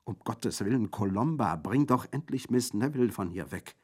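A man reading aloud, voicing an earnest, lovesick wounded young officer in a soft, hushed way. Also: deep voice, unhurried. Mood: pleading. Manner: soft, hushed, at times whispering.

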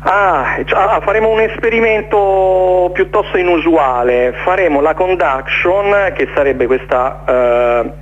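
A man giving an informal radio interview as a guest, speaking haltingly, searching for words and repeating himself. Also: sits high, muffled recording, a little hiss or hum. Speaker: interview guest, jazz musician presenting his concert series.

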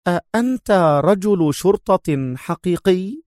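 A man voicing a tough, sharp-tongued woman agent in an audiobook, speaking curtly and with mockery. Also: sits high, big pitch swings, normal speed, no room sound, clean studio sound, voice pushed up. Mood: defiant. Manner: curt, sharp, mocking. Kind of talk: audiobook character voice.

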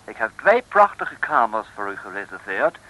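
Polite, chirpy English agent, English accent, very forward tone, animated, vintage radio drama. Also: male voice, lowish voice, normal speed, low-quality recording. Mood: pleased, confiding. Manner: courteous, exclamatory, mixes English into Dutch. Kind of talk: 1960s radio serial acting. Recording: old radio recording.

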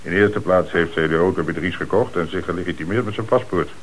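Police commissioner, male actor: deep, forceful, brisk and in charge; vintage radio play with noisy hiss. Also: natural voice. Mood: methodical, decisive. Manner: authoritative, methodical. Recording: hissy old radio recording.

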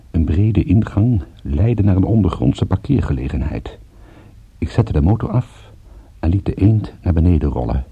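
An actor playing a weary, battered private detective, narrating a radio drama in a measured, grim way. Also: male voice, low-pitched, vocal fry, husky, full character voice. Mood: tense. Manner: measured, grim, literary storytelling. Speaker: narrator and lead of a radio play. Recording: narrowband, muffled old radio recording.

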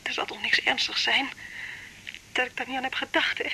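A very sharp, piercing woman's voice with a husky edge, thin through a telephone line. an anxious, flustered wife in a radio drama, fretting as she talks. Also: high voice, fairly even intonation, brisk, in a whisper, exaggerated voice. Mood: fretful, bewildered. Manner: agitated, fretful. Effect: telephone filter.

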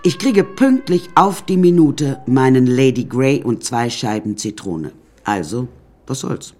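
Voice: medium pitch, animated, a little slow, mellow, lightly acted. An actor playing an imperious, eccentric older woman painter in a radio drama, haughty and theatrical, addressing the listeners directly. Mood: haughty, complaining.

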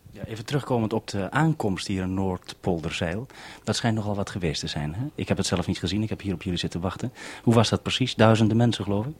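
A man's voice: lowish voice, fast talker, breathy edge. A man presenting as a radio host.

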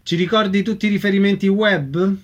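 A man's voice: high voice, steady tone, brisk, lo-fi sound. A man hosting a radio interview programme, introducing guests and asking them questions.

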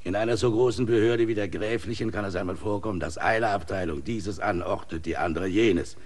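An actor playing an older, bedridden village mayor laid up with gout, courteous but rambling and evasive in a radio play. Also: male voice, fairly low pitch, brisk, lightly acted.

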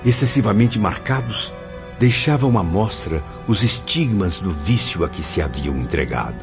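A compassionate, troubled male spirit in a radio drama, speaking very low with wide dramatic swings. His delivery is emotional and grave. The sound is dull, with music underneath. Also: expressive, moderate pace. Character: male suicide spirit recounting and observing, compassionate, horrified.

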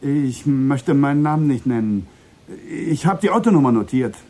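An actor playing a guarded, evasive man in a crime radio drama, with a husky, mellow voice. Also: mid-range voice, lively intonation, moderate pace, close to an everyday voice. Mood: tense, businesslike. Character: guarded, evasive American man caught up in a kidnapping.

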